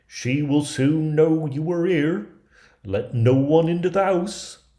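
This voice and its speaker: A man's voice: fairly high pitch, slow, dark tone, reverberant, voice pushed up. A man voicing kindly, protective little dwarfs in a storybook, speaking emphatically and sternly. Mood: urgent warning. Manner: emphatic warning delivery.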